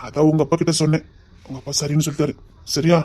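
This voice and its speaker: A woman's voice: deep voice, little pitch movement, very fast. A woman playing a teasing young lover with a lilt. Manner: teasing, then softly tender. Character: young man courting his sweetheart, teasing. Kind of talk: amateur romantic drama.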